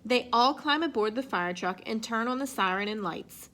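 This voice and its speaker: A woman reading a children's picture book aloud in an expressive way. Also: on the high side, moderate pace. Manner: expressive, acts out sound effects and exclamations. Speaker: storybook reader.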